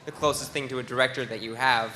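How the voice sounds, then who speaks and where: A man asking casual questions from the audience at a panel, hesitant and halting as he words them. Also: medium pitch, steady tone, background noise.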